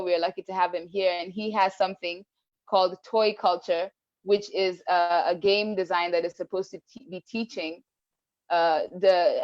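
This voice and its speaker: Woman host from Kenya, low-pitched, reflective, dull lo-fi call audio. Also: a little mellow. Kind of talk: casual panel chat.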